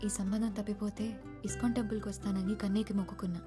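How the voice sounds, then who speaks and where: Actress as lovestruck village young woman, quick chatty delivery, teasing, frank, film drama, music underneath. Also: medium pitch, level tone, lightly acted. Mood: playful, smitten. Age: young adult. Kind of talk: naturalistic film acting.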